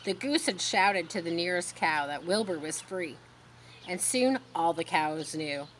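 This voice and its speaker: A woman reading a children's story aloud expressively, pausing to explain words in simple asides. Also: fairly low pitch, animated, a bit bright, a little hiss or hum, low-quality recording. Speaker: storybook reader.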